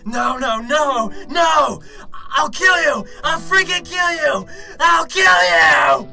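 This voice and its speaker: A man playing a cynical, sarcastic detective in an amateur radio drama, with a gruff, sardonic manner. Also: extremely high pitch, slow pace, sharp tone, raised voice, faint music behind, heavily acted. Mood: frantic, enraged. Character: cynical, sarcastic Chicago detective, a worn father.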